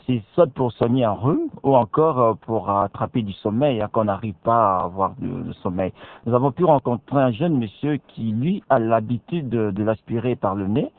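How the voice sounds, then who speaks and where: A man co-presenting a radio magazine, measured and agreeable. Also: medium pitch, expressive, no room sound. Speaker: radio co-host. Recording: dry narrow phone-like band.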